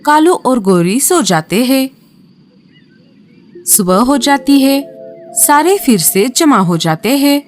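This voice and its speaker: A woman narrates a children's cartoon story in a slow, unhurried way. Her voice sits a little high. Background music plays clearly behind her. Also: sing-song, a little mellow.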